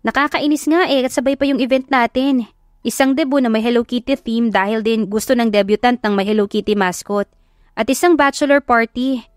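A woman with a Filipino accent voicing a brisk, chatty female events boss in an audio drama. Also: fairly high pitch, no room sound, studio quality, pitched above the performer's own voice, natural voice. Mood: exasperated. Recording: background music under the voice.

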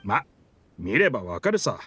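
A man voicing a proud, boastful talking pirate ship in a Japanese game dub, with theatrical, rough masculine speech. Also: lowish voice, big pitch swings, moderate pace, pushed and bright, heavily acted. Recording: music under the dialogue.

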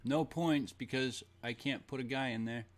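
A man's voice: medium pitch, normal speed. A man in his thirties, chatting casually to himself and his viewers while playing a board game at home. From Boston. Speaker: streamer. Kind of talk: casual game-stream chat. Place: small room at home.

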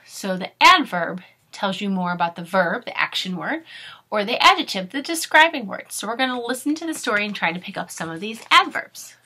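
A woman in her thirties, expressive and instructive, speaking to children as a teacher does in a read-aloud lesson. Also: mid-range voice, a little fast, slightly rough, poor audio. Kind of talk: storybook read-aloud with grammar explanations. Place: small furnished room at home.

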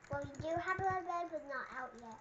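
A woman's voice: high voice, lively intonation, brisk, dull audio. A young girl chatting casually in roleplay as she plays a game with a partner. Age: child. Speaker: gaming video co-player. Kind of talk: casual roleplay chat while gaming. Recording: very noisy, clicks behind voice.

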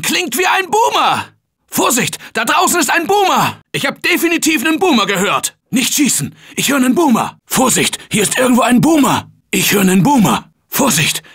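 Game survivor, urgent, bright high voice, lively, unhurried delivery. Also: male voice, slightly rough, full character voice. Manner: urgent barked warnings and calls for help. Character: male game survivor, tense office worker fighting zombies. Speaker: German dub of a game character. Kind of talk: game voice clips.

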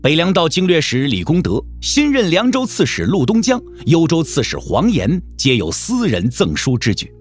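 Casting: male storyteller, dramatic novel narration with animated melody and a faint music bed. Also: on the high side, normal speed, hardly any pauses. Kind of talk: dramatic audiobook narration of a martial-arts novel. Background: soft background music.

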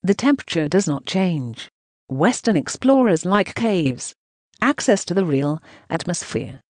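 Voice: medium pitch, very animated, a little slow, a little mellow, no echo. A synthetic text-to-speech voice reading translated news narration in a choppy way, speaking punctuation marks aloud.

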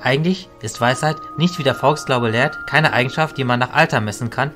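A man playing a calm, stern and wise mentor in an audio drama, speaking in a measured, firm way. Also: mid-range voice, faint music behind, natural voice.

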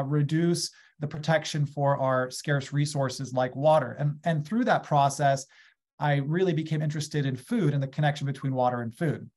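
An enthusiastic man in his thirties with an American accent talks through a webcam from a small room at home. His voice sits a little high for a man, and he keeps an eager, gesturing energy as he explains his work to a webinar audience. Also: a little mellow. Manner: enthusiastic, gestures a lot while speaking. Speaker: guest speaker, agriculture company founder. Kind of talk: conversational webinar talk. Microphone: webcam on a video call.

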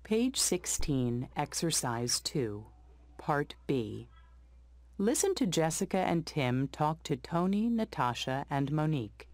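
Female coursebook announcer: low, measured, slow, with lively intonation. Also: dry recording. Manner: slow, measured reading of exercise numbers. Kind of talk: scripted instructional announcement.

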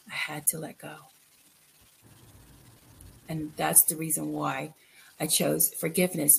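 A middle-aged woman from the Philippines giving a candid, reflective personal account as an interview guest, halting and repeating phrases for emphasis. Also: on the low side, creaky. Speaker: guest, author. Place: indoor room, video call. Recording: noisy video call.

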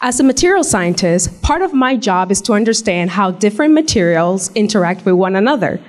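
A young woman giving a measured, explanatory research pitch into a handheld mic in a large hall. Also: a little mellow. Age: young adult. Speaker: graduate student pitching research. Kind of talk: memorized elevator pitch. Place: large hall with glass walls, indoors. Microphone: handheld microphone.

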